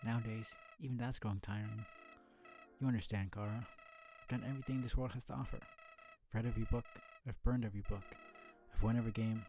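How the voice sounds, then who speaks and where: A man voicing a bored, manipulative killer flower from a video game, reading its monologue in a deliberate, brooding, theatrical way. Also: mid-range voice, wildly swooping pitch, mellow. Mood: cold, bragging. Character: sinister talking flower, bored, manipulative, world-weary killer. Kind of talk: video game dialogue read aloud. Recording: music behind the voice.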